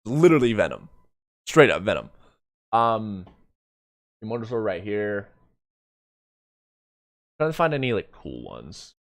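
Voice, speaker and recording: A man doing casual live reaction commentary as a streamer, excitable, joking and prone to swearing. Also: lowish voice, measured pace, pauses often, no echo, studio quality. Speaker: streamer reacting to a fan motion comic.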